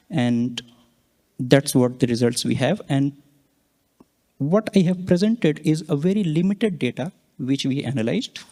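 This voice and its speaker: A man giving a scientific conference presentation, explaining slides and data in halting, explanatory phrases. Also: on the high side, dark tone. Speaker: researcher presenting a conference talk.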